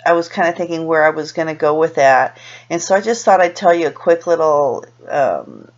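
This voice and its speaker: Middle-aged woman vlogger, American accent, native Spanish speaker. Low register, loose and hesitant delivery, muffled lo-fi webcam capture. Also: expressive, moderate pace. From Los Angeles, USA, born in Peru. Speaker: video blogger. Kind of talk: casual talk to camera. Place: small room indoors.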